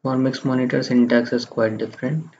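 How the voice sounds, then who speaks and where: A man narrating a step-by-step software screencast in a halting, plain-spoken way. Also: mid-range voice, steady tone. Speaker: tutorial presenter. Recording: muffled lo-fi microphone, faint background noise.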